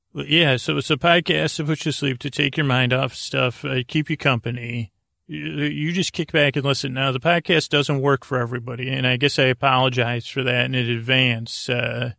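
A man with a creaky voice hosting a sleep podcast, rambling in a halting, meandering, self-deprecating way and drifting into tangents. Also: mid-range voice, fairly bright tone, no room sound, clean studio sound. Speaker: podcast host.

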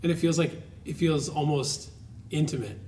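A male podcast host with a voice set a little high. He speaks in the manner of a show introduction or a relaxed conversation with guests. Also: moderate pace, slight background noise. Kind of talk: scripted intro and casual conversation.

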